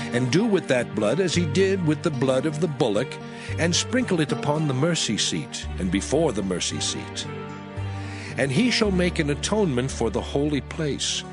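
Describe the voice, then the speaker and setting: A man narrating scripture from a script in a measured, formal way. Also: mid-range voice. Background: music.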